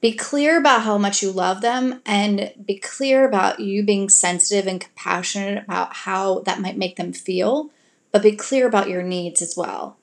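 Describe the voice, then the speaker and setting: A woman at a mid-range pitch talking her listeners through relationship boundaries, earnest and gently coaching. She slips into soft, affectionate example lines to a partner and then returns to plain advice. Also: moderate pace. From grew up in a Greek family. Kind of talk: advice talk to an audience.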